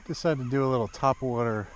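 Excitable man fishing from a kayak. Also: medium pitch. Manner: excited exclamations and muttered asides. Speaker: fishing vlogger. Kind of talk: casual fishing commentary. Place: kayak on a lake outdoors. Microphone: action camera mounted on the kayak. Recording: dull outdoor action-camera audio with wind and water noise.

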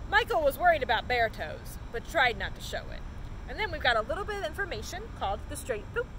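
Animated young woman reading a storybook outdoors. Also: high voice, fast. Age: young adult. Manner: animated, acts out dialogue. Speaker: storyteller reading a children's book. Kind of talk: expressive picture-book read-aloud. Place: outdoors under a tree in a park. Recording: outdoor noise of distant traffic.

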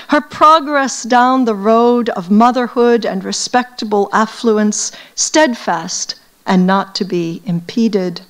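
A woman from the United States, an academic, reading aloud from a novel to an audience in a measured, deliberate way. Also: fairly high pitch.

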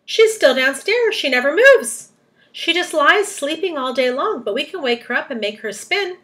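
A woman voicing an old green grasshopper from a storybook, a sharp, eager elderly gentleman who speaks briskly and emphatically. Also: high voice, lively intonation, voice pushed up. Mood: excited, emphatic.

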